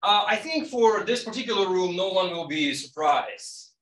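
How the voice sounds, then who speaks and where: Man, middle-aged, from Kazakhstan, conversational talk, slightly higher pitch, roomy echo. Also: animated, normal speed, fairly bright tone. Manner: conversational, checks in with "right?". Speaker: conference panelist. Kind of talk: academic presentation. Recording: echoey room, distant mic.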